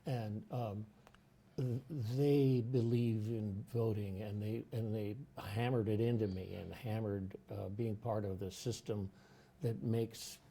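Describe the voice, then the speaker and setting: An older man hosting a casual talk show, speaking in a halting way with many fillers and restarts. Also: lowish voice, steady tone, vocal fry, mellow, slight background noise. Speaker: host. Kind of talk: casual chat. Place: studio.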